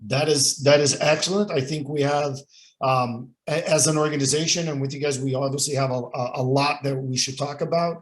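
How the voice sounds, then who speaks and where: A man with a somewhat high voice who hosts a business meeting. He talks in a friendly way and often repeats words as he restarts his sentences. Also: fairly even intonation, fairly quick, low-quality recording. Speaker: chamber of commerce host. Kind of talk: hosting an online meeting.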